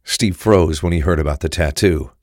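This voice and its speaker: A man's voice: low voice, moderate pace, a little mellow, no room sound, clean studio sound. A man narrating a crime thriller audio drama from a script.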